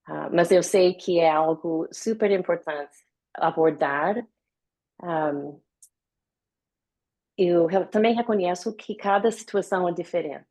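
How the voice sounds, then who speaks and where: A woman from the United States gives a live online talk in a language she learned later, pausing often with many fillers. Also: on the low side, mellow, low-quality recording. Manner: hesitant, with many fillers and pauses. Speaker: guest speaker. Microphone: video call.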